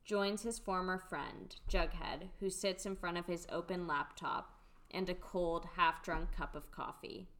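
Low-pitched woman reading stage directions. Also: steady tone, a little slow, a bit bright. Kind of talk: scripted narration of a radio play script.

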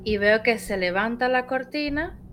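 Venezuelan woman, storyteller guest, lively animated telling. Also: fairly high pitch, moderate pace, over music. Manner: lively, laughing, animated retelling. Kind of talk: casual storytelling on a live stream. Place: home, lives in Buenos Aires.

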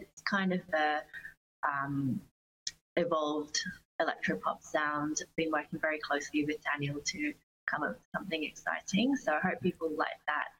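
Young woman w a low voice, musician guest chatting casually in an interview, bit of hum. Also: a bit bright, poor audio. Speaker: interview guest, pop singer-songwriter.

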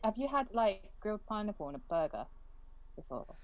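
A woman's voice: mid-range voice, big pitch swings, fairly quick, a little mellow, a little hiss or hum, rough recording. A young British woman hosting a podcast over a video call, chatting casually, laughing often and acting out quoted lines. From Britain. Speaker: podcast host.

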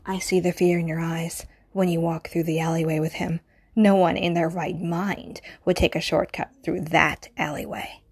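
A woman voicing a breathy obsessive stalker. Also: lowish voice, level tone, normal speed. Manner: intimate, often whispered, menacing. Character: obsessive, possessive murderous stalker lover. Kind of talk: audio roleplay monologue.

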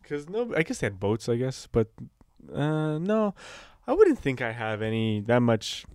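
Smooth clean-voiced guy on a comedy podcast, offhand, agreeable, casual chat, no room echo. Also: mid-range voice, wildly swooping pitch, measured pace, a little mellow, clean studio sound. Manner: loose, agreeable, offhand interjections.

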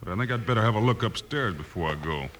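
A man's voice: on the low side, expressive, fast talker, fairly bright tone. An actor playing a cool, laconic American lawman hero in an old radio western. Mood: cool, firm. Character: calm, steely, dauntless western lawman hero. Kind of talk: 1950s radio western acting. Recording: old radio recording, faint noise and clicks.

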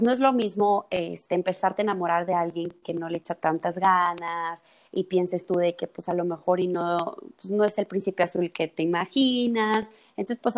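A woman in her twenties from El Salvador, a psychologist guest, explaining things in a friendly, casual way with fillers. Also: fairly low pitch. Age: 20s. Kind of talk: casual podcast conversation.

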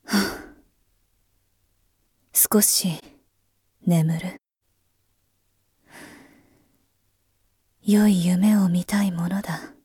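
A woman's voice: fairly low pitch, fairly even intonation, mellow, breathy edge, no echo, clean studio sound, heavily acted. A woman playing a weary, imperious emperor. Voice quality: archaic, imperious speech. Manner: slow, brooding, half-whispered musing. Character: weary, world-tired figurehead emperor. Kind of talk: voice drama monologue.

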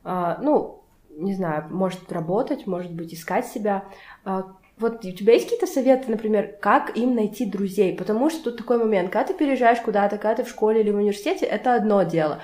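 A woman's voice: mid-range voice, fast talker, darkish tone. Young woman interviewing in an echoey room. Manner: conversational, probing with follow-up questions. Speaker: podcast host interviewing a guest. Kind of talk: casual interview chat. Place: indoors in a home.

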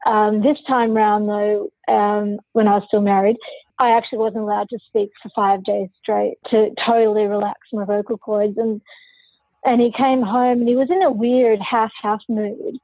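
A woman's voice: medium pitch, little pitch movement, normal speed, no room sound, narrow, tinny audio. A woman who is a podcast guest, telling her personal story candidly and forthrightly in an interview.

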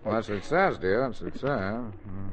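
A man's voice: fairly low pitch, expressive, normal speed, lightly acted. Man playing absent-minded wisecracking dad on muffled old radio. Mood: absent-minded deadpan. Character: mild, absent-minded, wisecracking middle-aged father. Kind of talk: 1940s radio comedy acting. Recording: dull, noisy 1940s radio broadcast.

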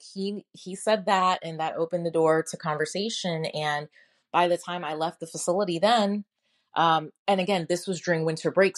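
A woman with a New York accent, likely in her thirties, hosting a podcast and telling her own story in a candid, chatty way with lots of fillers and self-mocking laughs. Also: fairly low pitch. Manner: candid and conversational, filler-heavy, laughs at herself. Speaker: podcast host.

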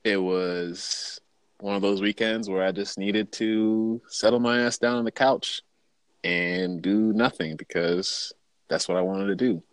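A man's voice: on the low side, fairly even intonation, a little slow, smooth, dry recording. A young man hosting a casual podcast, laid-back and joking, with slangy, easygoing talk. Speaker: podcast host.

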